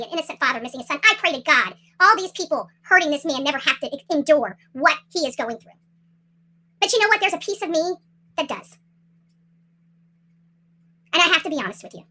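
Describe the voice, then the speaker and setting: Livestream host, very high-pitched and sharply forceful, agitated, confrontational, repeating phrases for emphasis. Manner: salty, swearing. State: upset, agitated. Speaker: livestream host commenting on a missing-person case. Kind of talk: impassioned livestream rant.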